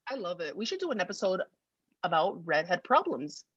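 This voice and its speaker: A woman's voice: lowish voice, sing-song, fairly quick, fairly bright tone, breathy edge, no echo, highs cut off. A woman co-hosting a podcast in casual comedic chat, playful and teasing, repeating phrases for emphasis. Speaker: podcast co-host.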